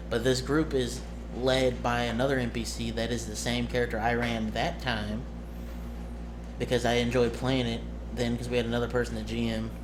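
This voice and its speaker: A man talking casually to his viewers as a streamer and game master, halting and rambling as he corrects himself. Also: mid-range voice, background noise.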